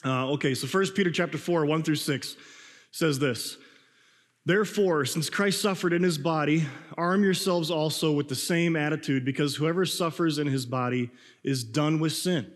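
A man preaching a sermon, hoarse and a bit raspy from a cold, talking in a loose conversational way with restarts. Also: on the high side, moderate pace, long reverb tail. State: hoarse, coughing. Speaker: pastor.

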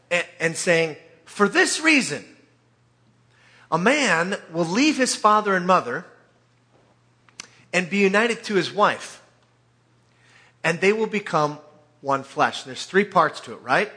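A man preaching in an informal, conversational way, telling scripture like an animated storyteller with joking asides. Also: high-pitched. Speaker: preacher or Bible teacher.

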